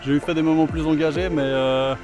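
A man's voice: fairly high pitch, brisk, over music. A man vlogging his solo kayak trip, talking casually to the camera outdoors by a river, thinking aloud and swearing now and then. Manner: relaxed and chatty, thinks aloud, swears casually, many fillers. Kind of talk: casual vlog narration to camera.

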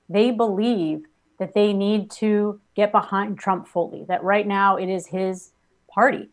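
American woman, 40s, political guest on a video call, earnest, kinda halting, medium pitch. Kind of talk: live political interview commentary. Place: small home office on a video call. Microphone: video call at desk distance.

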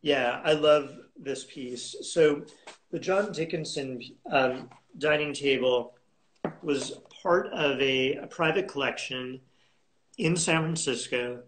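Slow-talking man on a video call. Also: mid-range voice, a bit bright, slight background noise, poor audio. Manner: unhurried, halting, many fillers and restarts. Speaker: auction house specialist, guest. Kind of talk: conversational interview over video call. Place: home room indoors. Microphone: video call webcam.

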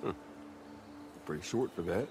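An actor playing a dry, laconic middle-aged Texan father figure, gruff but gentle, in a naturalistic video game style. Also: low-pitched, animated, a little slow, faint music behind.

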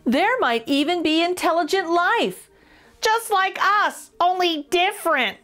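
High, animated middle-aged woman reading to children. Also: faint music behind. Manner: expressive, slow, exaggerated intonation. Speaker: children's storytime host reading a picture book aloud. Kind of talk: animated read-aloud for young children.

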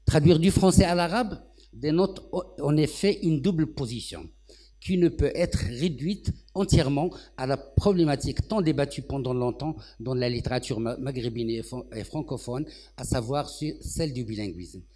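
A man's voice: mid-range voice, normal speed, warm tone. Older man, hesitant panel talk. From Morocco. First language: Arabic. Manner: thoughtful, many hesitations and restarts. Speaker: translator on a literary panel. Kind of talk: round-table talk. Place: indoor festival stage, seated on a sofa. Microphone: handheld microphone.

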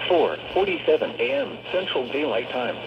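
A man's voice: a little high, huge pitch swings, moderate pace, creaky. A synthetic text-to-speech man reading scripted weather forecasts as an automated radio announcer, in a stilted delivery. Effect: synthetic voice over weather radio. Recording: muffled, noisy weather radio speaker.

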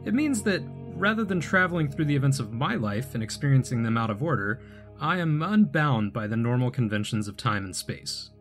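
An actor playing an earnest wanderer unstuck from time, explaining himself in a measured way in an audio drama. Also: male voice, medium pitch, expressive, soft background music, lightly acted. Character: earnest male time-unmoored wanderer recounting his strange life. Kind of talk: audio drama acting, calm storytelling.

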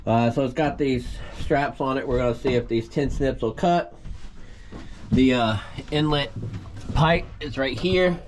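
A man in his thirties casually explaining boat repair work as he goes, easygoing and quick to laugh, filmed on an action camera inside a small boat cabin. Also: mid-range voice, a little hiss or hum. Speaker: boat restoration vlogger. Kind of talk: casual hands-on narration.

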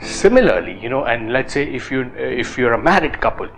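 Indian man, thirties, fitness vlogger, chatty with fillers, music behind, middle pitch. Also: fairly quick, poor audio. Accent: Indian English. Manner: animated, gestures with both hands, many fillers. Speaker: fitness influencer. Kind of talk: casual talk to camera.

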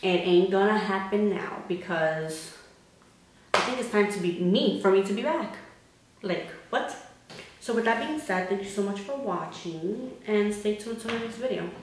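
A young woman in her twenties vlogging casually to camera, animated and expressive, slipping Spanish words into her English. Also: medium pitch, normal speed, slight background noise. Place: bare indoor room. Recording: echoey bare room.

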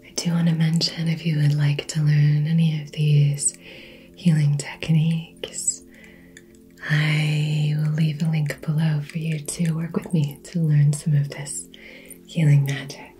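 A woman softly whispering a soothing ASMR Reiki session, speaking gently and personally to the listener as a healer. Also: deep voice, level tone, measured pace, soft background music. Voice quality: breathy whisper. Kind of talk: soft-spoken ASMR healing session.

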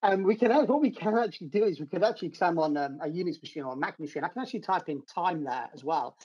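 A middle-aged British man with a husky voice explains a technical demo step by step in an informal screen-share tutorial. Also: fairly high pitch, fast, darkish tone, dull audio. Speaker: developer advocate giving a technical demo. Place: home room, indoors.

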